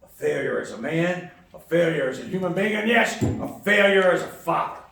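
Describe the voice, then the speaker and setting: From a distance in a very echoey hall comes a folksy old grandfather played by a man, plain-spoken and stubborn. His voice sits a little high, with homespun turns of phrase, in the manner of a live radio play, over faint noise. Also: expressive, normal speed, gravelly, a bit bright. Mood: indignant. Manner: plain-spoken, homespun, scolding then apologetic. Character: folksy elderly grandfather, gently stubborn, outspoken. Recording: echoey hall from far off, noisy.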